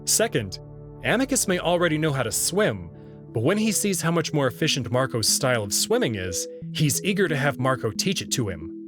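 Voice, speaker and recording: A man's voice: a little high, sing-song, fairly quick, music in the background. A man giving scripted narration and commentary as a host, with wry, playful asides. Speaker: host reading a visual novel and commenting on it.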